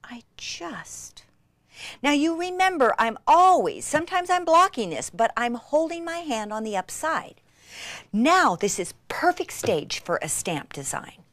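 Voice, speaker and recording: Woman, craft instructor, gushing and excitable, leisurely pace, dry close sound, repeats words for emphasis. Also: high voice, measured pace, dry recording. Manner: excitable, gushing, breathy exclamations and gasps. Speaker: craft instructor demonstrating techniques. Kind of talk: enthusiastic hands-on craft demonstration.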